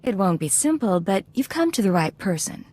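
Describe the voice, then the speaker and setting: A woman's voice: medium pitch, sing-song, moderate pace, a little mellow. An actress playing a cool, self-assured female undercover agent in a video game, composed, guarded and dryly confident. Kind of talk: video game dialogue acting.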